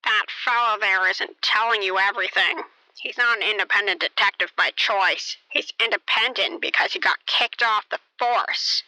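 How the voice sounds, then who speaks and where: A woman's voice: medium pitch, normal speed, pushed and bright, dry recording, low-quality recording. A woman playing a jaded, gossipy clinic receptionist in an amateur radio play, blunt, dismissive and matter-of-fact.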